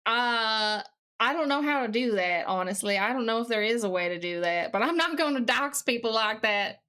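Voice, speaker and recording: A woman with a distinctly high voice chats casually on a live stream. She puts on a Southern US accent and talks in a folksy, mildly sweary manner as she works at her computer. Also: lively intonation, moderate pace, pushed and bright, clean tone. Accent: put-on Southern US.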